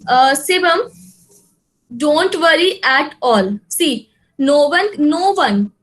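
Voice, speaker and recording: A woman's voice: high-pitched, expressive, slow pace, a bit bright, rough recording. A woman with an Indian English accent teaching a live online class over a video call, reassuring students and switching between English and Hindi. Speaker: exam prep teacher.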